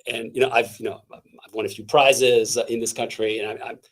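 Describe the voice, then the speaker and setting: A man from Canada, a guest speaker in an interview, talking with energy in a fairly high voice, full of restarts and fillers. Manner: animated, halting with many restarts and fillers. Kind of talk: casual interview talk.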